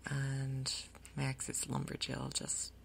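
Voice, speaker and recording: A woman giving soft-spoken ASMR game commentary in a breathy, gentle, unhurried way. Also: rumbling low, monotone, slight background noise. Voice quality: breathy, whispery. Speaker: gaming video host.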